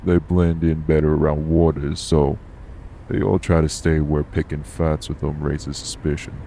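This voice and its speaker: A man playing a steady, guarded, streetwise vampire traveler in an audio roleplay, matter-of-fact with folksy clipped word endings. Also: extremely low pitch, normal speed, creaky, a little hiss or hum. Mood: calm, wary.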